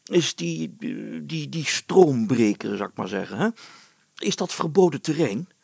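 A man's voice: fairly high pitch, animated, unhurried, vocal fry, a little mellow, breathy edge, no echo, voice pushed up, full character voice. A man voicing a pompous, jovial village mayor who speaks emphatically and hems solemnly in a storybook reading. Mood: official, blustery.

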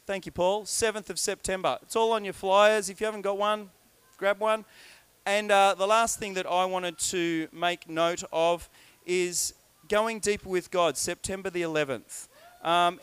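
High, smooth-voiced jokey pastor man. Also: a bit bright, no room sound. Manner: jokey, chatty, breaks off into asides. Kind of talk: church announcements and prayer.

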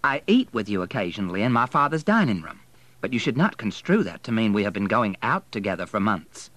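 A man voicing a haughty young Southern lady, prim, brisk and condescending, in an audiobook novel. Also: mid-range voice, sing-song, dry recording, pitched above the performer's own voice, lightly acted. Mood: firm, indignant.

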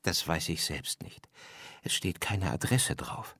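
A man's voice: low-pitched, lively intonation, takes it slowly, gravelly, pushed and bright, breathy edge, no room sound, pitched below the performer's own voice, heavily acted. A man voicing a big talking hare who helps deliver Easter eggs, matter-of-fact and insistent, in a storybook reading. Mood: worried, explaining.

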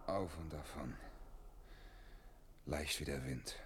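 An actor playing a brash, dreamy young vagabond peasant in a radio play, with a husky voice. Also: male voice, low voice, animated, a little slow, full character voice. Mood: musing. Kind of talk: German radio play acting.

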